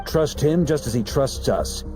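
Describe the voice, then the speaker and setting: A man's voice: medium pitch, expressive, measured pace, over music, lightly acted. A man voicing a confident, commanding knight leader in a dramatic video game scene.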